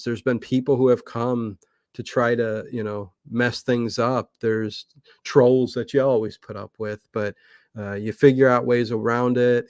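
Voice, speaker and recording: A man's voice: mid-range voice, no room sound. A man with a mid-range voice speaks in a casual, rambling way as an interview guest. He often restarts his sentences as he thinks aloud. The sound is dry, with no room on it. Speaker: guest, online old-time radio channel creator. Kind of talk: casual livestream interview chat.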